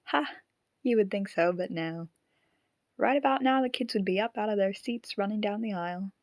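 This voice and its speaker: A woman's voice: mid-range voice, normal speed, no echo, studio quality, natural voice. A woman acting a wry, amused audience member who banters dryly and casually in an audio drama.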